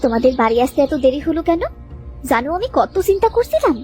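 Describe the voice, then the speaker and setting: A woman's voice: sits high, big pitch swings, quick pace, warm tone, faint music behind. A woman playing a caring, fretful village mother and wife in a Bangla cartoon.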